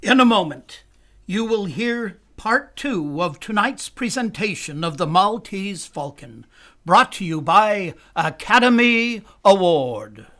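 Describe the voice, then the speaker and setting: Slow, high-pitched male radio announcer. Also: animated, sharp tone. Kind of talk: scripted old-time radio announcing.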